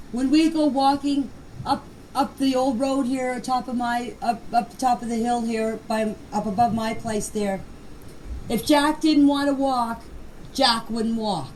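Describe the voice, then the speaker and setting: A woman's voice: a little high, darkish tone. An older woman giving an informal personal account in voice messages, plain-spoken and emphatic, often repeating herself. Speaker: grandmother recounting events. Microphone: voice clips recorded for a group chat. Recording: distant, noisy voice message recording.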